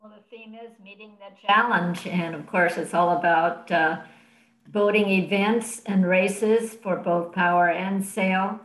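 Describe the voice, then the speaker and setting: A woman's voice: medium pitch, fairly even intonation, a little slow, fairly bright tone. A North American woman in her sixties giving informational updates on a panel over a video call. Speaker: cruising guide editor giving updates. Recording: echoey room on video call.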